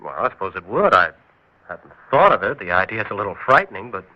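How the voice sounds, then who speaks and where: A curious writer who narrates an old radio drama in a calm, thoughtful way. His voice is low and his intonation lively. The old recording sounds dull and lo-fi. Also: fast talker. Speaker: narrator and lead character, a writer. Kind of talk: 1960s radio drama acting.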